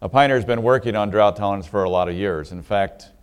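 A man with a very low, smooth and resonant voice gives steady prepared remarks on a panel. His speech is dotted with small "uh" pauses. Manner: steady, with frequent "uh" fillers. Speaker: industry panelist.

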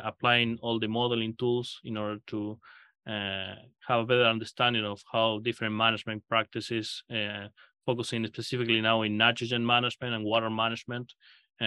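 A man from Argentina, a guest researcher, speaking haltingly with many fillers in a podcast interview. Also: lowish voice, sharp tone, no room sound.

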